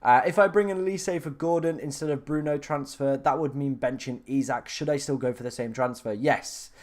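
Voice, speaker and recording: A man's voice: medium pitch, brisk. A young man from England chatting casually on a live stream, reading out viewer questions and talking through options with lots of fillers. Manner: chatty, filler-heavy, restarts, reads viewer questions aloud. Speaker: fantasy football streamer answering live chat.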